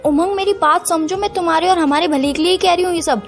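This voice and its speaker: An actress plays a young girlfriend in an audio relationship drama. Her voice is high and she speaks fast, earnest and insistent, torn but firm. Music plays under her. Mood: insistent, exasperated. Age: young adult. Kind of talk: acted phone-call drama in conversational Hindi with some English.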